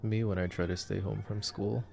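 A man's voice: fairly low pitch, fairly even intonation, normal speed, gravelly, a little mellow, slight background noise. A young man giving casual, joking, intimate movie-watching commentary in a boyfriend sleep-aid roleplay, full of slang. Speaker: ASMR roleplay voice actor.

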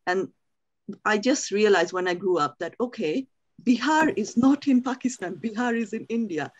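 A woman's voice: mid-range voice, lively intonation, moderate pace, highs cut off. A middle-aged woman from Bangladesh joining an online talk from home, chatty and a little apologetic as she shares a memory. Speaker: audience member. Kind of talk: casual question in an online talk. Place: room at home.

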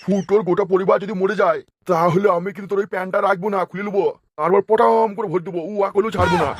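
Man voicing high, rapid-fire brash cartoon troublemaker. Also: fairly even intonation, slight background noise, close to an everyday voice. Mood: indignant, crude. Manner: bossy, crude, hasty. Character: brash, crude male cartoon troublemaker, gullible schemer. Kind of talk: comic cartoon dubbing.

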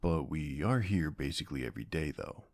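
A man's voice: very deep voice, animated, a little fast, creaky, darkish tone, no room sound, studio quality, full character voice. A man playing a flirty, laid-back gym bro in an ASMR roleplay, drawling and teasing in an easygoing way.

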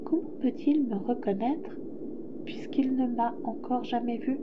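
A woman's voice: sits high, normal speed, dull audio, noisy background, voice pushed up, close to an everyday voice. A woman voicing a polite, timid, curious little boy prince in a storybook, speaking in shy questions. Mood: puzzled.

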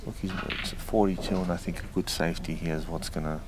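A man commentating on a live snooker stream in a loud, chatty way, often repeating words. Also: low-pitched, fairly even intonation, fast. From Greek heritage. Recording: noisy live stream audio.

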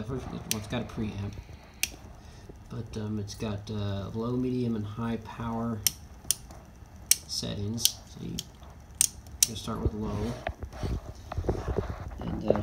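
A man giving a casual, matter-of-fact hands-on equipment demonstration, speaking haltingly. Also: on the low side, steady tone, heavy background noise. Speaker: presenter of radio equipment tests. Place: indoors at a wooden table.

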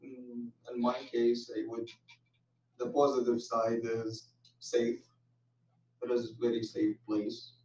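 A young adult man from Saudi Arabia, a student, answering a panel question haltingly with restarts. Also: medium pitch, monotone, darkish tone, far from the mic. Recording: muffled, roomy, from off the mic.

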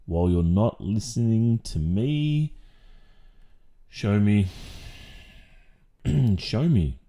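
Slow, deep-voiced strict Australian male teacher, acted by a man. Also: mellow. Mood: probing. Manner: deliberate, sarcastic, with sighs and pointed pauses. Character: strict, dominant male maths teacher, sarcastic and exasperated. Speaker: ASMR roleplay performer. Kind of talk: ASMR boyfriend roleplay.

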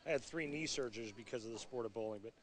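A man's voice: mid-range voice, very fast. A middle-aged American man giving analytical bowling commentary in an explanatory, conversational way. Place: bowling centre during televised championship. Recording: broadcast over loud venue noise. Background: loud bowling venue ambience.

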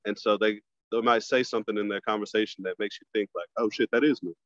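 A man, a stand-up comic, chatting casually as a podcast guest over a phone video call, loose and relaxed, often restarting his phrases. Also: on the low side, fast, no echo, lo-fi sound. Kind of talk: casual conversation.

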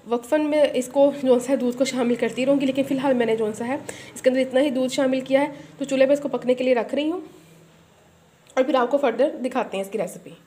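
A woman hosting a home cooking channel, chatting directly to viewers as she demonstrates a recipe step by step at her kitchen stove. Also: high-pitched. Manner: chatty, addresses viewers directly, often adds a pious phrase. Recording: echoey kitchen with loud sizzling and clanking pans.

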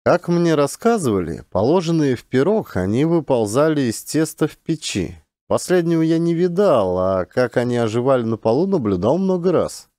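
Mellow, expressive male audiobook narrator. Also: medium pitch, moderate pace, hardly any pauses, no room sound, clean studio sound. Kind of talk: scripted literary narration.

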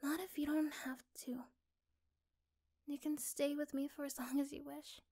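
A woman playing a caring, curious young girlfriend in a soft-spoken, tender ASMR roleplay, breathy at times. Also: high voice, flat delivery, moderate pace. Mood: tender, reassuring.

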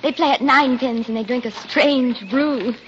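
Old muffled radio play, an earnest daughter, high voice, bit of hiss. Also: female voice, a little slow. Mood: gentle, explaining. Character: earnest grown daughter of a long-lost father. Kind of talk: 1940s radio acting. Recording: muffled old 1940s radio recording, faint noise.

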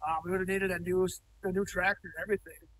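Plainspoken farmer, high voice, distant mic. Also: male voice, little pitch movement, fast, fairly bright tone, a little hiss or hum. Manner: plainspoken, with frequent ums and restarts. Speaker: cattle farmer. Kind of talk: on-site interview. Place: indoor cattle barn, large, open-sided.

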